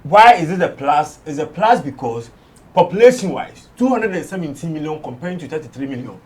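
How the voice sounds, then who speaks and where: A man's voice: on the high side, expressive, a little fast. Emphatic Ghanaian man, mixing in Twi. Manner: mixes English with Twi, emphatic. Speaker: entertainment show pundit. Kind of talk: casual studio discussion.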